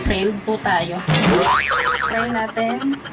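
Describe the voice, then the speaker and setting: Filipina vlogger over loud music. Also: female voice, on the high side, slow. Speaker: prank call vlogger. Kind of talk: casual vlog chat and phone calls.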